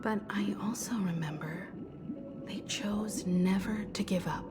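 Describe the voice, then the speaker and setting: An actress playing a thoughtful, compassionate young adventurer in a video game, reflective, earnest and gently resolute. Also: female voice, medium pitch, a little slow, lightly acted. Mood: wistful, earnest. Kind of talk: dramatic game voice acting. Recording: studio voice under loud music. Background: loud game music.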